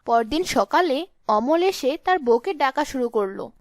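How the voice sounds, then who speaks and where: Lady narrating a fairy tale, high voice, scripted storytelling, dry recording. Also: lively intonation, unhurried, no echo.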